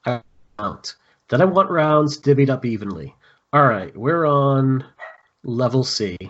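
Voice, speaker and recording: A man's voice: medium pitch, expressive, measured pace, fairly bright tone, dull audio. A man playing a stern, commanding squad leader who gives clipped military orders in a script read. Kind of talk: screenplay table read.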